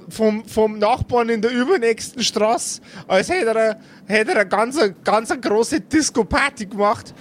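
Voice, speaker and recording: A man's voice: extremely high pitch, slight background noise. A man voicing a naive, chatty schoolboy with a Bavarian accent who stammers and rambles, in an improvised tabletop audio play. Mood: excited.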